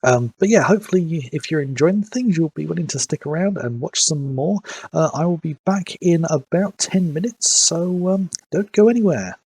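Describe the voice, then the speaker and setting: A man streaming a game, his voice a little husky and stuffed up from hay fever. He rambles through recaps with plenty of filler, recorded close and dry with no room sound. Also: fairly high pitch, lively intonation, darkish tone, no echo. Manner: rambling, fills pauses with "um". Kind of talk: casual live-stream commentary.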